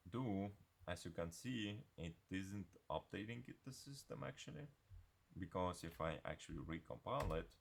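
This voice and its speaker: A man giving an unscripted software screencast tutorial in a halting, hesitant way. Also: deep voice, gravelly.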